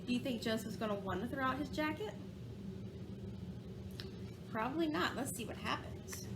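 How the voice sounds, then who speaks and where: A woman teacher reading a storybook aloud in a sing-song way, pausing to ask children questions. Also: on the high side, noisy background.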